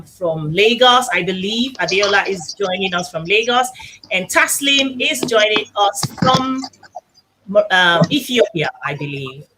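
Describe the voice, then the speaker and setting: A woman's voice: medium pitch, background noise. A woman hosting a live online talk show, upbeat and welcoming as she introduces her guests.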